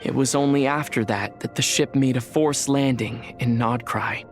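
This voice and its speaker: A man voicing a calm, thoughtful young traveler. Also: medium pitch, over music, lightly acted. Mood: reflective. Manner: quiet, measured and reflective. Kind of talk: video game cutscene dialogue.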